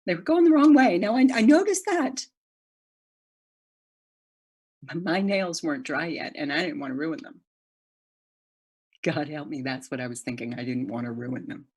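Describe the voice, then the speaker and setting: Actress as ashamed woman, halting confession, emotional, loud to hushed swings, slightly rough voice, online drama. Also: deep voice, animated. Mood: hushed regret. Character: guilt-ridden young woman confessing, haunted and ashamed. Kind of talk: dramatic monologue in a Zoom play. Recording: video call audio.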